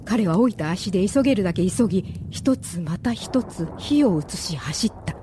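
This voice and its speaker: A woman's voice: medium pitch, expressive, normal speed, background noise. A woman narrating a radio drama as a scripted, dramatic story.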